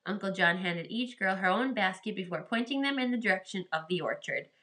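A woman with a bright, forward voice reads a children's story aloud. She narrates expressively, as a storyteller does, at a medium pitch. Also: animated, fairly quick.

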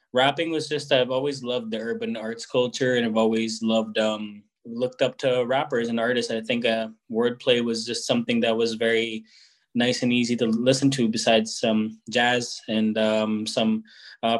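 A young man, answering interview questions in a reflective, hesitant way, with many fillers and restarts. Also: medium pitch. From Philippines. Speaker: guest musician.

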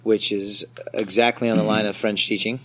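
A man's voice: on the low side, level tone, normal speed, a bit bright. A man hosting a podcast with casual banter, joking and swearing, often restarting his questions. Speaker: podcast host.

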